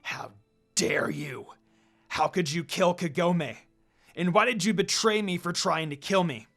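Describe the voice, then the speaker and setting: A man voicing a hot-headed young male half-demon in an anime fan story, loud, aggrieved and confrontational over music. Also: sits high, a little slow, creaky, full character voice. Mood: furious, hurt.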